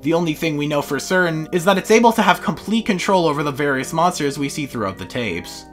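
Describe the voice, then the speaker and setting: Higher-pitched, animated male video essayist. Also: fast talker, soft background music. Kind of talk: scripted video essay narration.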